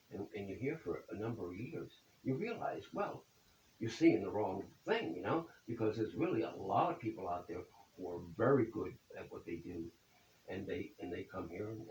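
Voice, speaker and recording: A man's voice: lowish voice, sing-song, moderate pace, darkish tone. A man in his seventies answering interview questions about his business in a folksy way, often ending points with "okay?". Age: 70s. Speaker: accountant, business owner. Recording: roomy echo, distant mic, faint hiss.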